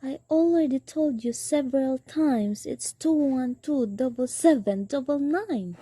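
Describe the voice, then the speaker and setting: A teenage girl plays a demanding, anxious married woman in an amateur radio drama. Her voice is fairly high, very mellow and somewhat husky, in a dry close sound. She presses her points imperiously. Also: a little slow, no echo. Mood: indignant, urgent. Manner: insistent, imperious.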